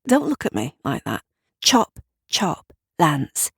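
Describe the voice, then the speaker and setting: A woman's voice: fairly low pitch, wildly swooping pitch, slow, a bit bright, husky, dry recording, studio quality, exaggerated voice. A woman voicing a coolly menacing, controlling female neighbour in an audio drama, clipped and cold. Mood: commanding. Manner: clipped, cold, barely moving the lips.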